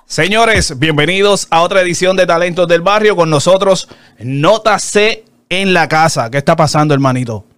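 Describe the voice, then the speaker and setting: A man hosting a casual interview show, opening with a lively promo and chatting loosely with his guest. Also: high-pitched, clear, resonant tone, dry recording. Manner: lively, upbeat promo delivery with fast asides.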